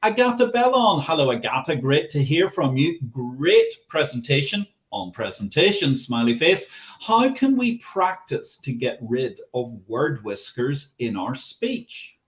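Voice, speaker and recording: A man hosting a webinar over a video call, chatty and brisk as he reads out questions from viewers. His voice sits in a middle pitch. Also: very animated. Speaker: webinar host. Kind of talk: hosting a live online Q&A.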